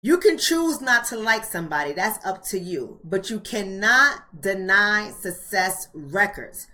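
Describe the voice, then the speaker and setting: Woman, forty, American accent, livestream host, colloquial, emphatic, repetitive phrasing, medium pitch. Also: lively intonation, unhurried, sharp tone. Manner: colloquial, addresses viewers directly. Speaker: host of a live online book club. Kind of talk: casual livestream chat.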